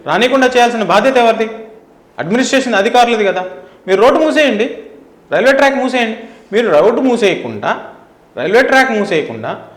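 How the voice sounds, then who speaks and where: A middle-aged man speaks quickly and emphatically in a fairly high voice. He makes his points in an opinionated interview style, repeating phrases and posing rhetorical questions. The room adds noticeable echo. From India. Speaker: interview guest, former air force officer. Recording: roomy, echoing indoor sound.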